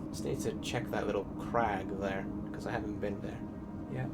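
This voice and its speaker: A man's voice: fairly low pitch, fairly even intonation, normal speed, very noisy. A man giving casual let's-play commentary on a video game in offhand asides. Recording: loud game music over voice. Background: loud video game music.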